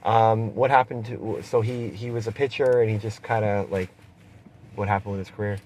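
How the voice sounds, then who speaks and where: A man's voice: on the low side, fairly even intonation, moderate pace, darkish tone. A man with a Canadian accent hosting a casual, chummy interview inside a car, using informal slang. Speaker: interviewer host. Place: inside a car being driven. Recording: noisy moving vehicle interior.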